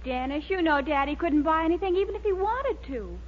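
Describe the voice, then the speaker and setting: A very high, lively young American woman speaks in coaxing, earnest sitcom style. She sounds like a sweet, encouraging girlfriend on a dull old radio recording. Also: brisk, a little hiss or hum. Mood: coaxing, practical. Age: young adult. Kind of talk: 1940s radio sitcom acting.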